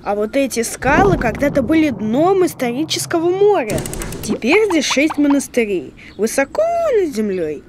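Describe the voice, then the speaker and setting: A little know-it-all cartoon creature, childlike and high-pitched. It explains things earnestly with a lively, bouncing melody, over noisy background ambience. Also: female voice, normal speed. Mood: earnest, informative. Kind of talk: children's educational cartoon acting.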